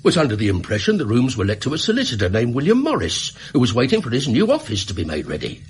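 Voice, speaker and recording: A man's voice: medium pitch, wildly swooping pitch, quick pace, breathy edge, exaggerated voice. An actor playing an earnest, plain-spoken Victorian English shopkeeper in a British radio drama, indignant as he labours through his story. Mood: aggrieved.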